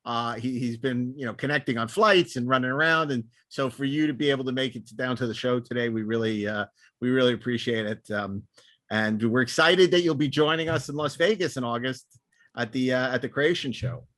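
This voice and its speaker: A man's voice: mid-range voice, brisk, a bit bright, no room sound. A man hosting a podcast in casual, enthusiastic chat, rambling with repeated words and stop-start phrasing. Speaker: podcast host.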